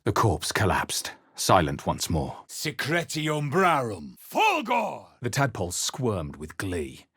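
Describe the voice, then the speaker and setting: Brooding, husky, low-voiced fantasy hero. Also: male voice, no echo, studio quality, exaggerated voice. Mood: tense, brooding, then forceful incantation. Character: male fantasy adventurer hero, brooding and wary. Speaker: video game player character voice. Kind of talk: dramatic first-person game narration and character lines.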